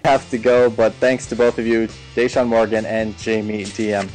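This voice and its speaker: A man's voice: on the low side, flat delivery, normal speed, a little mellow, faint music behind, low-quality recording. A man anchoring a newscast, reading a scripted teaser. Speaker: news anchor.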